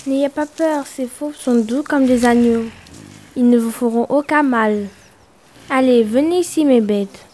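A woman's voice: fairly high pitch, a little slow, darkish tone, slight background noise, natural voice. A woman playing a sorceress and hostess in an audio drama, with a cooing, theatrical manner that can turn scornful. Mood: sweetly welcoming.

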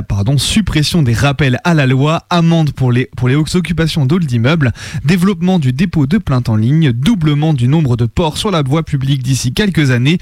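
A man's voice: a little high, a little mellow, no room sound, studio quality. A man with a French accent from France reading scripted radio editorials as a host, with a sardonic, engaged delivery. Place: radio studio.

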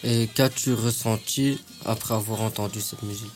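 A man's voice: lowish voice, steady tone, moderate pace. Plain-spoken male interviewer over music. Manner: plain, direct questions. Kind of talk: reading interview questions. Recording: music clearly heard behind.

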